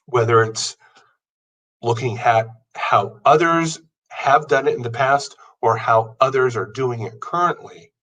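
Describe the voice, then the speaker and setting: A man's voice: mid-range voice, fairly bright tone, recorded from a distance, low-quality recording. A man in his fifties giving an earnest motivational talk, building his points through long lists. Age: 50s. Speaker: podcast host. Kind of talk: motivational monologue.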